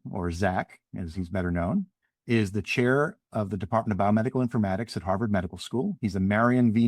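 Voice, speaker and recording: A man's voice: fairly low pitch, a little mellow, dry recording, studio quality. A man hosting a podcast, introducing a guest in a friendly manner and then asking questions. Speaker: podcast host.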